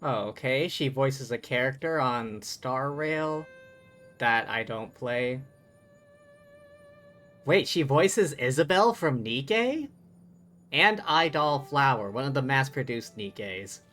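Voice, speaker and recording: A man's voice: mid-range voice, expressive, slow pace, a bit bright, faint music behind. A man livestreaming a game with casual commentary, chatty and thinking aloud. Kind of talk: casual live stream commentary.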